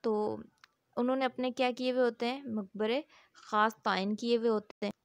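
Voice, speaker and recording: A woman's voice: a little high, fairly even intonation, normal speed, dry recording. A woman with a Pakistani accent lecturing on law, reading formal text aloud and explaining it in a didactic, repetitive way. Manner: didactic, repeats points and asks rhetorical check questions.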